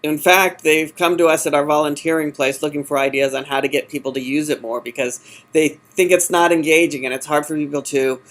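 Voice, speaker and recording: Guy in a job interview, voice a bit high, halting, keeps fixing his words. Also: fairly even intonation, a bit bright. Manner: halting, hesitant, self-correcting. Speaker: job candidate in user experience design. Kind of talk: phone job interview, informal answers.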